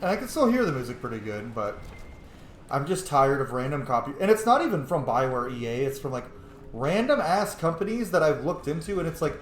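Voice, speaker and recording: A man's voice: a little high, very animated, fairly quick. Male gaming host, casual and opinionated, rambling and sweary, recorded in an echoey room with faint noise. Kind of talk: casual gameplay commentary. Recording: roomy echo, faint background noise.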